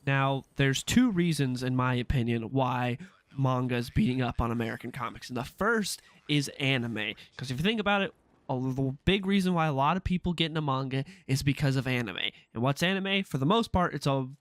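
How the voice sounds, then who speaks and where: A man's voice: mid-range voice, animated, fairly quick, dry recording. A man with a stuffed-up, nasal voice from a cold, giving casual, opinionated commentary with frequent "uh" fillers. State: sick with a stuffed-up nose. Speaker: comic book commentary YouTuber.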